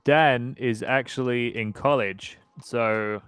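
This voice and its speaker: Easygoing man in his thirties, smooth voice. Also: medium pitch, steady tone, slow pace, a bit bright, no echo, soft background music. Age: 30s. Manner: easygoing, laughs often, reads viewer comments aloud. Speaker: podcast host. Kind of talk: casual livestream chat.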